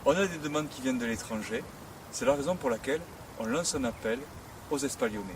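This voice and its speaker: A man's voice: mid-range voice, quick pace. A man who heads a school, making an upbeat, persuasive appeal to families. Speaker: school head. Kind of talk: promotional appeal to camera. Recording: distant mic, street noise.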